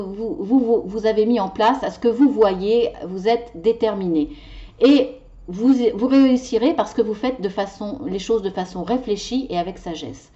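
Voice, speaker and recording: A woman reading tarot cards in a weekly video, passionate and animated, tripping over her words as her ideas run ahead. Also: on the high side, lo-fi sound. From Italian heritage. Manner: animated, talks with her hands. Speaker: tarot card reader.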